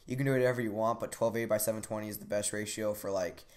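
A young man hosting a tech channel, talking through a software tutorial casually, with lots of ums and restarts, recorded on a desk webcam in a small room. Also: on the low side, fairly even intonation, racing.

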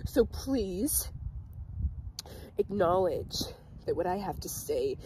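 A woman performing a dramatic monologue as a bitter, desperate teenage outcast on an emergency call, halting and emotionally intense. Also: lowish voice, sing-song, warm tone, slight background noise, rough recording. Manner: slow, halting, emotionally intense delivery with pauses.

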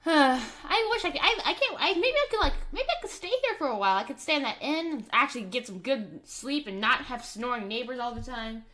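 Female game roleplayer: very high and bright, lively swings, stammering delivery. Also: moderate pace, soft background music. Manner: hesitant, stammering. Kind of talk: in-character game roleplay commentary.

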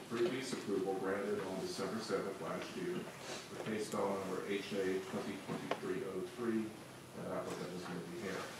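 Low-voiced man giving a staff report. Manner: measured, reading out numbered conditions. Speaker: planning staff presenter. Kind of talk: formal staff report at a public meeting. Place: large council chamber. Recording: echoey hall, mic far off, background noise.

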